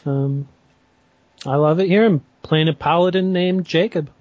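A man from Washington playing a tabletop game, laid-back and unhurried. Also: fairly high pitch, darkish tone, no echo, lo-fi sound. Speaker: player. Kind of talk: casual chat at a game table.

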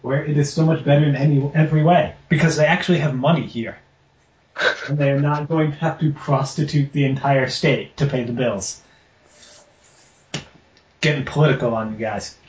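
A man's voice: fairly high pitch, fairly even intonation, normal speed, pauses often, darkish tone, reverberant, dull audio, slight background noise. A man from Rhode Island running a tabletop game as game master, joking offhand and reading a formal charter aloud.

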